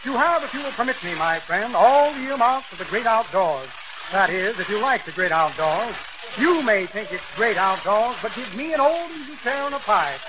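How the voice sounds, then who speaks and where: An actor playing a blustery, jovial old stock swindler with florid, repetitive speech, in old-time radio comedy style. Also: male voice, expressive, normal speed. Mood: grandly jovial. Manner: pompous, florid, muttering repetitions. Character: pompous flimflamming stock promoter, blustery jovial old windbag. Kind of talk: 1930s-40s radio comedy acting. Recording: noisy old radio recording, vehicle rumble.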